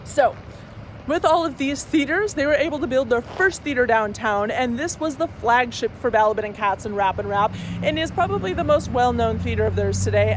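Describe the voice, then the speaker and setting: A woman's voice: fairly high pitch, poor audio. A young woman tour guide giving an enthusiastic walking-tour talk outdoors on a city street, filmed on a phone held at arm's length. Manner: chatty and enthusiastic, with asides. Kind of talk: walking-tour presentation to camera. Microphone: phone camera at arm's length. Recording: outdoor street noise with traffic.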